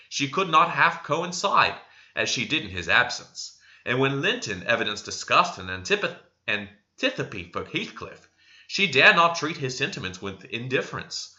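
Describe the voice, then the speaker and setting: Male amateur audiobook reader: very bright, forward tone, lively melodic swings, slightly stumbling delivery, lo-fi recording. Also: mid-range voice. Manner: expressive reading with occasional stumbles. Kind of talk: scripted narration of a classic novel.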